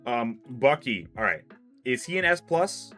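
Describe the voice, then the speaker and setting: A man's voice: medium pitch, big pitch swings, measured pace, a bit bright, soft background music. A man in his late twenties hosting a casual gaming chat, asking questions and repeating his phrases. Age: late 20s. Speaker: host leading a tier list discussion.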